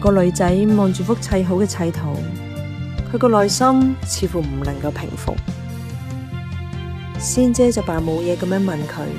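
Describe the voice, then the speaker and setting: A woman's voice: lowish voice, animated, normal speed, pauses often, over music, close to an everyday voice. A woman narrating a radio drama in the first person as an observant café worker, in a scripted storytelling style. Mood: calm, observant.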